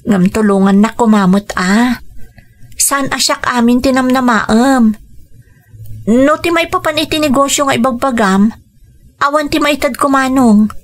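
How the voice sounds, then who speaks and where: A woman's voice: high-pitched, unhurried, pauses often. A woman playing a weary, dutiful younger sister working abroad in a radio drama, earnest and plaintive, with an Ilocano accent. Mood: hurt, firm.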